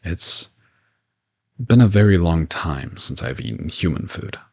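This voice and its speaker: A man playing a gentle, courteous old vampire in an intimate audio roleplay, reassuring and lightly teasing as he speaks to the listener. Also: low-pitched, very animated, a little slow, gravelly, husky, exaggerated voice. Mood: conversational, friendly. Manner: soft-spoken reassurance, politely formal with teasing asides.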